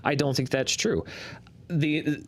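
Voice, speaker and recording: A man's voice: a little high, takes it slowly. A man hosting a box office show, explaining earnestly in a conversational style and often correcting himself as he goes.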